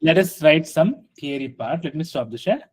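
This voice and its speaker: A man teaching an online software class over a video call, often checking in with his students, with a slight cough. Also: fairly high pitch, animated, moderate pace, slightly rough. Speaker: software trainer.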